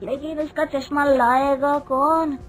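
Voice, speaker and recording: A woman voicing an excitable, indignant village man in a storybook tale, exclaiming and scolding. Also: high-pitched, slow pace, music in the background, voice pushed up. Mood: excited. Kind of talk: storybook character voice.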